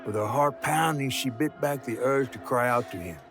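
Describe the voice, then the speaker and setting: An actor playing a solemn storyteller, narrating a tragic folk tale in a video game with literary, suspenseful phrasing. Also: male voice, mid-range voice, normal speed, vocal fry, close to an everyday voice. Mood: tense, grave. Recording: music under the voice.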